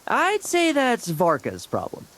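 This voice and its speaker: A woman's voice: on the high side, huge pitch swings, takes it slowly, heavy background noise, heavily acted. A woman voicing a confident, irreverent young female warrior in a video game, teasing and breezy. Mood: amused, reassuring. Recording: game music behind the voice.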